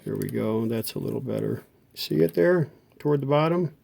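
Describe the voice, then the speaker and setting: A man's voice: mid-range voice, lively intonation, normal speed, creaky, a little mellow. A middle-aged man giving a casual hands-on repair tutorial, talking in an informal, folksy way as he works. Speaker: host of a vintage radio repair channel.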